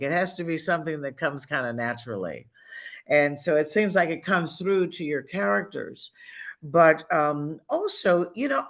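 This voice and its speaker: A woman's voice: low-pitched, vocal fry. A woman hosting an author talk over a video call, chatty and full of fillers. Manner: warm-hearted, chatty, many fillers. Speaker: event host. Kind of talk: casual video-call chat.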